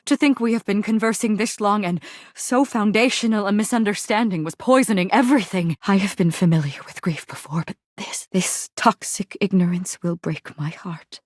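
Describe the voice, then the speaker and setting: A woman's voice: mid-range voice, moderate pace, no room sound, clean studio sound, lightly acted. A woman voicing a proud, melodramatic warrior general in a video game, speaking in formal, theatrical, Shakespearean old English. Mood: heartbroken. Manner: grandiose archaic Shakespearean speech, formal and theatrical.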